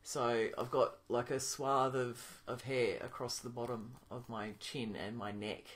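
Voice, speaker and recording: A young man talking casually to his camera in a video diary, pausing often with ums and restarting his sentences. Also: mid-range voice. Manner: relaxed, thinking aloud with many ums and restarts. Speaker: vlogger.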